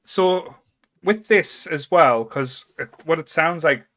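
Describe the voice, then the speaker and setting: A man's voice: a little high, expressive, takes it slowly, a bit bright. A man with a North East English accent chatting casually as a podcast host, blunt, sweary and jokey.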